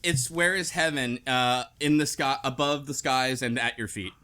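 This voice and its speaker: A man's voice: medium pitch, normal speed, bright, forceful tone, clean tone. A man hosting a casual book club podcast, talking in a rambling, self-correcting way. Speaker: podcast host.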